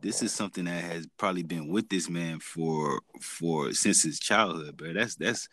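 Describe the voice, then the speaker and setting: Laid-back man with a very deep voice. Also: bright, forceful tone. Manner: laid-back slangy talk, drawn-out fillers. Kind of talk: casual chat.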